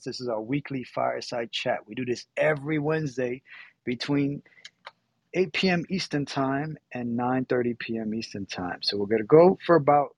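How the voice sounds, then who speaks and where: A man from Puerto Rico hosting a casual moderated chat, relaxed and rambling, often correcting himself. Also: mid-range voice, breathy edge, no room sound. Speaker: host.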